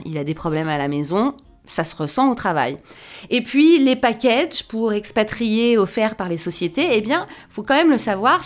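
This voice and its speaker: A woman hosting a radio interview, asking probing questions and narrating a short report. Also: medium pitch. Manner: engaged, asks probing questions. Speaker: radio host.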